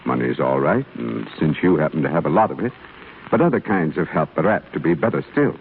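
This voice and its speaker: An actor playing a kindly, earnest older stonecutter in a measured, preaching 1930s radio drama style. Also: male voice, very deep voice, expressive. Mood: earnest, fatherly. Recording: muffled scratchy 1930s radio broadcast.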